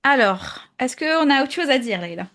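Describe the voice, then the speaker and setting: A woman's voice: sits high, animated, normal speed, a bit bright. A woman co-hosting a conversational podcast, speaking in a friendly way as she closes the episode. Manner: friendly, wraps up the episode, laughs easily. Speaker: podcast co-host.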